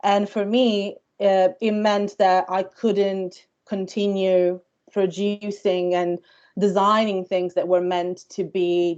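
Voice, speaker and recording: An Italian-accented woman in her thirties speaks as a founder giving a careers talk to students. Her pitch sits in a middle range, and she talks thoughtfully, with frequent ums and uhs. The audio is a little dull and muffled, like a video call. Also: a little mellow. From Italy. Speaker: company founder.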